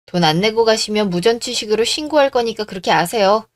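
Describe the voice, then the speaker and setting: A woman's voice: medium pitch, quick pace, no room sound, clean studio sound, natural voice. A woman voicing a plucky, assertive young bride-to-be with a cutting manner in a radio drama story. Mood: scolding, resolute. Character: bold, defiant young bride-to-be, plucky daughter.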